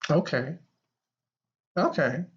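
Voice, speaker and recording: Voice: mid-range voice, animated, takes it slowly, husky, muffled recording. A podcast host improvising a comedy audio adventure in casual banter, with a rambling, playful way of talking. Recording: noisy background.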